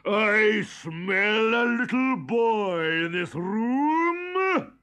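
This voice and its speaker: A man voicing a huge roaring giant in a children's story reading, slow and booming. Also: expressive, bright, forceful tone, heavily acted. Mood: menacing.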